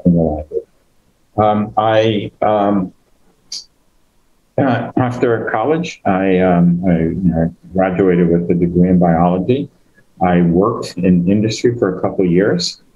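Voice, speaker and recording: A man's voice: low-pitched, mellow, muffled recording. An older American man telling his life story on an online call, hesitant and full of fillers and restarts. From United States. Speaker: guest speaker. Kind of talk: conversational personal story.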